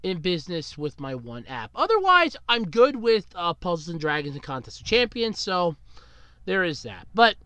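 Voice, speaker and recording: A man hosting a solo podcast, rambling casually about his week, with big swings up and down in pitch and still getting over a cold. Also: very animated, moderate pace, sharp tone, smooth, no echo. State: recovering from a cold. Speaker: podcast host.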